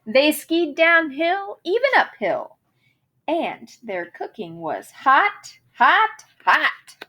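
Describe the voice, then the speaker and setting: Slow, high-voiced woman reading a storybook expressively. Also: sing-song, pushed and bright. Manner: theatrical, savoring alliteration and rhyme, dramatic emphasis. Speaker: storybook reader. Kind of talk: expressive children's picture book read-aloud.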